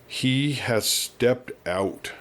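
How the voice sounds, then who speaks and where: Casting: man playing elderly frontier cattleman for an audiobook. Whining, explosive, demanding, mid-pitched. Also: very slow, fairly bright tone. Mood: slow, suspicious. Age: older. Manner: whining, explosive, repetitive pleading.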